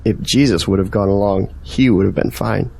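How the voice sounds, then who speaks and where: A man's voice: fairly low pitch, moderate pace, vocal fry, warm tone. Earnest man, searching and persuasive, questioning manner, audio drama dialogue, acted by a man. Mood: earnest, pressing.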